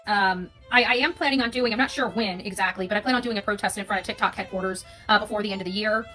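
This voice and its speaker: American female content creator, off-the-cuff opinion monologue, brisk, forceful, emphatic with repeated phrases. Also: medium pitch, soft background music, poor audio. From United States.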